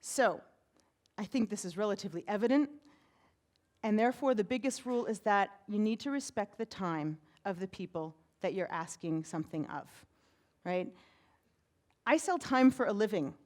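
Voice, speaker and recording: A woman with a big voice giving a conference talk on stage as a PR firm president, chatty and energetic, often tagging "right?" onto her points. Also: mid-range voice, moderate pace. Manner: energetic, chatty, uses many "right?" tags.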